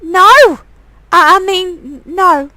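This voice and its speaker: A caring young shop worker with something to hide, played by a woman for a visual novel. She is earnest and easily defensive, with a high, bright voice that sounds very dry. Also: very slow, dry recording, heavily acted. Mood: defensive, evasive. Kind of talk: acted visual novel dialogue.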